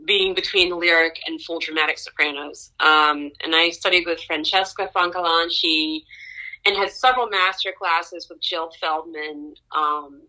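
Bright, animated young woman storyteller. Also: unhurried, rough recording. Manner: animated storyteller, laughs often, pitch jumps up in excitement. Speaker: guest opera singer. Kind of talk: conversational interview storytelling.

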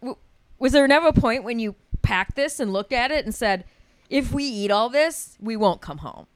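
A woman's voice: on the high side, moderate pace, smooth. A woman co-hosting a casual podcast chat, telling stories with lots of laughter and frequent swearing. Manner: animated storytelling, swears freely, laughs often. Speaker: podcast co-host.

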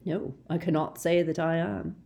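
A woman voicing a very old, wise male headmaster who speaks calmly and quietly. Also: sits low, moderate pace, gravelly. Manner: calm, quiet, gently dry.

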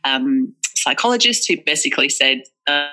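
Guest voice: Northeast English woman, forties, chatty, sharp bright tone. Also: low-pitched, animated, moderate pace, rough recording. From northeast England. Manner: chatty and candid, many fillers and restarts. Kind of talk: casual conversational interview.

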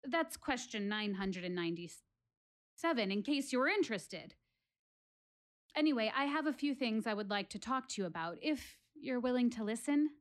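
A woman's voice: a little high, expressive, normal speed, lightly acted. An actress playing an earnest, lonely, self-questioning woman who prays aloud in a confiding, conversational stage monologue. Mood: wry, confiding.

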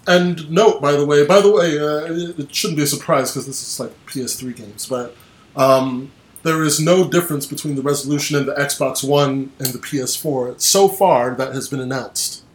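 A man in his twenties hosting a casual gaming podcast, chatty and quick to laugh, trailing off with ums. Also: fairly high pitch, rough recording. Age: 20s. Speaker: podcast host.

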